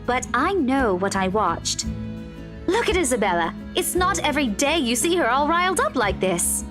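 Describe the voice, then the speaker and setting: A playful, loyal young student in a visual novel game. Her voice is clearly high and her delivery casual and candid, over prominent background music. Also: sing-song, normal speed. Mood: sincere, encouraging.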